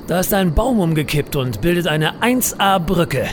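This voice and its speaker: A man's voice: high voice, animated, normal speed, noisy background. A man playing a reckless, unflappable adventurer hero in a comedic audio drama, pompous, flippant and melodramatic. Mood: breezy, confident.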